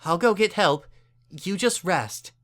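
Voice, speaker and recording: A man's voice: high-pitched, lively intonation, slow pace, a bit bright, pitched above the performer's own voice, full character voice. A man voicing a kindly, worried female pony mayor in a storybook reading, with an urgent, caring manner. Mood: urgent, reassuring.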